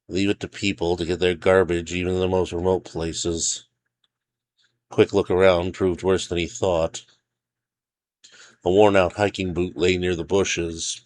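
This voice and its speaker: Low voiced guy reading out his own story, scripted narration.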